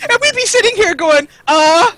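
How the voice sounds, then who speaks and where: A woman's voice: extremely high pitch, expressive, moderate pace, background noise. A woman co-hosting a live show with casual, playful chat.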